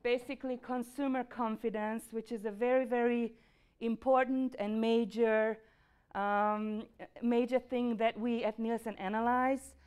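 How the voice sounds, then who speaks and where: A middle-aged Hungarian-accented woman gives a lecture presentation in a university lecture room. Her voice sits a little high and sounds smooth and clear. She explains steadily and fills her pauses with frequent ums and uhs. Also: little pitch movement, darkish tone. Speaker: market research presenter.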